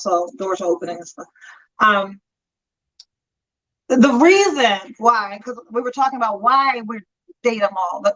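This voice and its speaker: A woman's voice: a little high, bright, forceful tone, dull audio. A woman in her fifties co-hosting a casual chat show, telling stories with frequent laughter, calling in from a friend's house. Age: 50s. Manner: chatty storyteller, laughs often. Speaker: talk show co-host.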